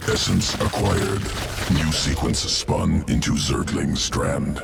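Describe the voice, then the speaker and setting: A man voicing a cold, calculating alien creature in a video game, speaking in clipped, deadpan, clinical phrases. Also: heavily acted. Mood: clinical, detached. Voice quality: very deep, gravelly, slow. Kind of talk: scripted video game character dialogue. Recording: music behind the voice.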